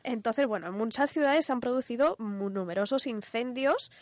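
A woman's voice: a little high, lively intonation, normal speed, dry recording. Chatty Spanish woman explaining, close and dry. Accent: Spanish from Spain. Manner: informal, conversational, explaining step by step. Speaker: radio programme co-host presenting a segment. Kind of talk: casual explanatory chat.

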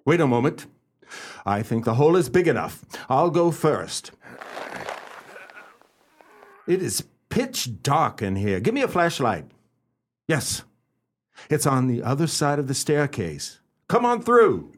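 A man playing a confident, courteous gentleman detective, speaking formally and decisively in a radio play. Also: medium pitch, very animated, measured pace, a little hiss or hum. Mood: confident, commanding.

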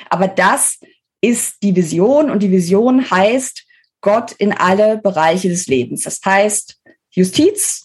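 A woman's voice: mid-range voice, darkish tone, slightly rough. A woman with a German accent explains things as an expert interview guest, informal and emphatic, often correcting herself as she thinks aloud.

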